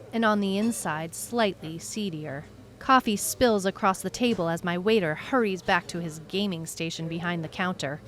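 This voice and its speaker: A woman playing a brooding detective who narrates a supernatural case in a measured, deliberate way, as in a scripted audio drama. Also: mid-range voice, natural voice. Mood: wry, subdued. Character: brooding female detective recounting a supernatural missing-person case. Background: music.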